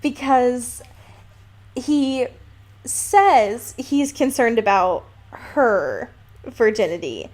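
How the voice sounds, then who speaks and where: A woman co-hosting a podcast, chatting casually about a book and retelling it in an amused, halting way with acted-out quotes. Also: a little high, lively intonation, a little mellow. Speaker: podcast co-host. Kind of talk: casual book discussion chat.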